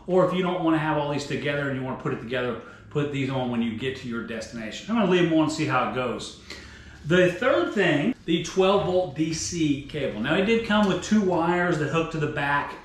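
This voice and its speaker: A middle-aged man talks through a hands-on gear demo in a casual, chatty way, his voice sitting a bit high for a man. The sound bounces around a roomy garage, with a faint hum of noise underneath. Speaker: outdoor gear vlogger. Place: home garage.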